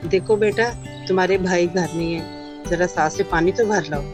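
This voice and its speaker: A woman's voice: fairly low pitch, moderate pace, music in the background, close to an everyday voice. A woman playing a caring, anxious village mother in an audio drama.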